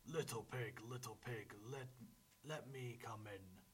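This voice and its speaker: A man's voice: mid-range voice, slow pace, a little hiss or hum, close to an everyday voice. A man voicing a greedy, gloating big bad wolf in a storybook verse, with boastful, emphatic delivery. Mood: coaxing.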